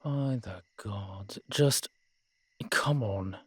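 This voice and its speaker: A man acts out a sardonic, weary male vampire in an audio roleplay drama. His voice sits on the low side, with measured, formal phrasing and a wry edge. The sound is close and dry, with very little room on it. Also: slightly rough, dry recording, exaggerated voice. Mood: exasperated, dry.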